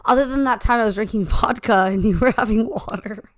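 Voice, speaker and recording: A slightly hoarse woman co-hosting a podcast, chatting casually and telling stories with many asides into a handheld mic. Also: fairly high pitch, brisk, no room sound, clean studio sound. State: slightly hoarse, recovering from laryngitis. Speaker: podcast co-host. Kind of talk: casual chat.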